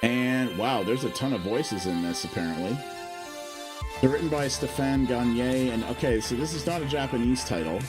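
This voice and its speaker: A man's voice: medium pitch, moderate pace, music in the background. A man casually thinking aloud as he streams his first look at a game, chatting through the menus and settings. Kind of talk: casual live game first-impressions commentary.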